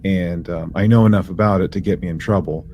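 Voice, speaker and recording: Low-voiced man chatting on a podcast. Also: fairly even intonation, a little mellow, slight background noise. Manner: halting, informal, slangy. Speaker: podcast co-host. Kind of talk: casual explanatory podcast chat.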